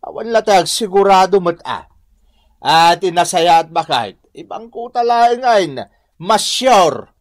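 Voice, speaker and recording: An actor with an Ilocano accent playing a sly, boastful philandering husband in a radio drama, wheedling and swaggering. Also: male voice, high-pitched, animated, a little slow. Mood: wheedling, scheming.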